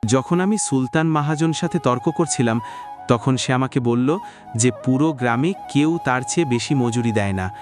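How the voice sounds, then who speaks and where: A man voicing a humble, flattering poor farm labourer in a cartoon, speaking in a deferential, pleading way. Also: medium pitch, normal speed, over music, close to an everyday voice. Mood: aggrieved. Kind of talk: cartoon dialogue acting.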